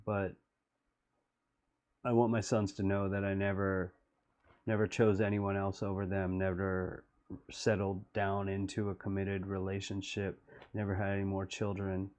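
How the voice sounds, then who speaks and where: Middle-aged guy with a deep flat voice, wistful, talking over his music video. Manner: low-voiced, slow and wistful. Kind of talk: reflective commentary.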